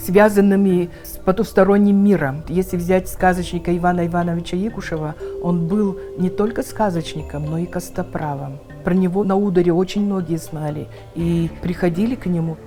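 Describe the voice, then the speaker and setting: A woman's voice: on the low side, moderate pace, warm tone, faint music behind. A woman interviewed as an expert, explaining things in an unscripted way. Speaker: interviewed expert on folk tales.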